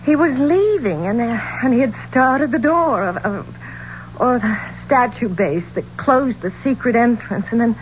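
A woman's voice: on the high side, expressive, a little slow. An actress playing a bold woman singer in a 1970s radio drama, speaking in a dramatic, emphatic way. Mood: shaken. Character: bold American woman singer cornered in an Egyptian tomb. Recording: muffled, noisy old radio recording.

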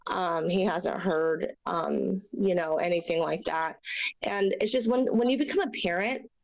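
A young woman with a raspy, hoarse voice from a chest cold, sharing her story as an interview guest in a halting, earnest way with many fillers. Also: fairly low pitch. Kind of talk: personal testimony in a podcast interview.

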